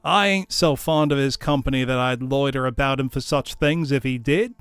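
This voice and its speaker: A man's voice: medium pitch, normal speed, a bit bright, clear, resonant tone, dry recording, lightly acted. A man voicing a brazen, scornful charwoman in a storybook reading, coarse, gloating and emphatic. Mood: brazen, self-satisfied. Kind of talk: storybook character voice.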